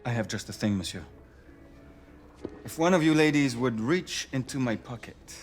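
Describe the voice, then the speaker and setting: An actor playing an unflappable French marquis in a game, courteous and wry, with a French accent. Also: male voice, medium pitch, expressive, normal speed, faint music behind, lightly acted. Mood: suave, wry. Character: suave, unflappable French marquis, playful gentleman fighter. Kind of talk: cinematic game acting.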